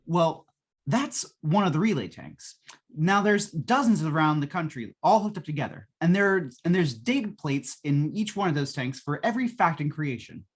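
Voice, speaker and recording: Woman as a husky, folksy salesman. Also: sits low, sing-song, normal speed, exaggerated voice. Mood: eager, explanatory. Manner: colloquial, patient sales patter. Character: folksy, long-suffering male salesman of futuristic machines. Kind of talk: 1950s radio drama acting.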